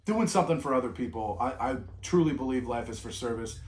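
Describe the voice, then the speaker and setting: A man's voice: medium pitch, lively intonation, quick pace, a little mellow. A middle-aged man from California, talking casually as a vlog co-host and rambling, with frequent restarts. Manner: rambling, with many restarts and trailing off. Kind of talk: reflective casual chat. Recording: echoey room.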